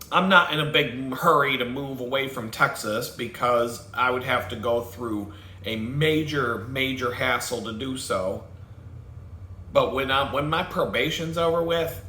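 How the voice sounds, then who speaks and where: A man vents in a blunt, profane monologue, swearing freely and chuckling at his own jokes. His voice is bright and sits at a middle pitch. The room echoes around him, with a faint hum of background noise. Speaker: vlogger host. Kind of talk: profane rambling rant.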